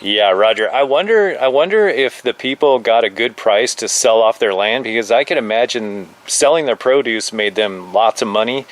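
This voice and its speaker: A man chatting casually on two-way radio, halting and agreeable. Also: medium pitch. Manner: agreeable, halting, filler-heavy with many repeated "like"s. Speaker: amateur two-way radio operator.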